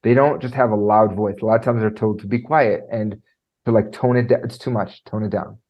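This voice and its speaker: A man coaching on presentation skills, talking in an animated way and filling his speech with "like" and "right?"; he has a trained high singing voice. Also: a little fast, muffled recording. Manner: animated, lots of fillers like "like" and "right?". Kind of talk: conversational podcast interview.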